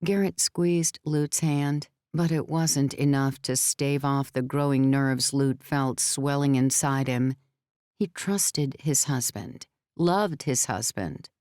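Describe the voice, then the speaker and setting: Low-voiced woman narrating a novel. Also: a little mellow, clean tone, dry recording, studio quality. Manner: measured, storytelling. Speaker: audiobook narrator. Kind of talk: scripted fiction narration.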